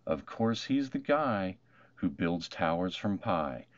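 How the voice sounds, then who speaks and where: A man narrating a rhyming children's storybook in an expressive, measured way. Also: low voice, no echo, muffled recording. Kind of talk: rhyming picture book read-aloud.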